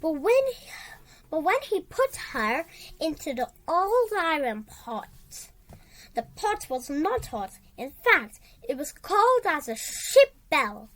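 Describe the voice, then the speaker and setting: A woman reading a children's story aloud in a halting way, repeating and correcting words as she goes. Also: high-pitched, very animated, fairly bright tone. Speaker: storybook reader.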